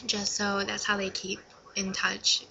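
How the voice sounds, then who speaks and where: A woman's voice: medium pitch, completely flat delivery, unhurried, gravelly, sharp tone, lo-fi sound, a little hiss or hum. A young adult woman giving casual advice in a vlog, talking in an informal, rambling and slightly self-conscious way. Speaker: vlogger.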